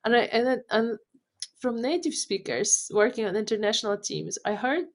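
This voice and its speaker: A woman's voice: on the high side, lively intonation, moderate pace. A middle-aged woman with a Polish accent, a startup founder being interviewed on a podcast, earnest and often repeating words as she finds them.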